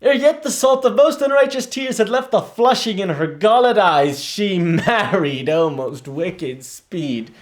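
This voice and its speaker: An actor playing a grieving, bitter young prince in a theatrical monologue, brooding and halting, rising into outbursts. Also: male voice, high-pitched, expressive. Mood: bitter anguish.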